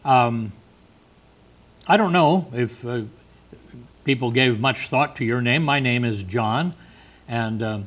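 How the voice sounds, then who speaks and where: Male Bible teacher, mid-pitched voice, loose unscripted delivery with frequent "uh"s, reading verses aloud between explanations. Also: slow pace, pauses often. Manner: unscripted, many fillers and restarts, reads verses aloud.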